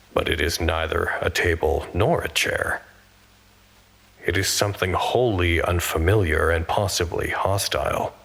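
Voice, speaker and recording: An actor playing a reflective, earnest man narrating an audio drama monologue in a measured, hesitant, thoughtful way. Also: low-pitched, creaky, pushed and bright, heavily acted. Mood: uneasy, wary. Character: reflective male narrator describing an improvised office art gallery, earnest, uneasy.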